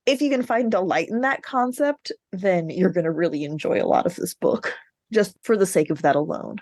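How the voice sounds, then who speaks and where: A woman's voice: on the low side, moderate pace, darkish tone, dry recording. A woman co-hosting a podcast in casual book chat, informal and wry, with the odd sweary aside. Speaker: podcast co-host.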